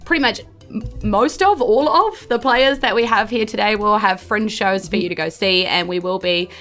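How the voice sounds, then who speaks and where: A woman's voice: medium pitch, normal speed, a bit bright, faint music behind. A woman with a New Zealand accent, chatting enthusiastically and casually as a podcast host and game master. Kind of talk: casual podcast outro chat.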